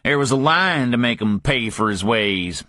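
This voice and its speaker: A man puts on an angry Southern ex-con with a Southern US accent, blustering in a raised voice pitched higher than the narrator's. The recording is dry and close. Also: lively intonation, moderate pace, sharp tone, no echo.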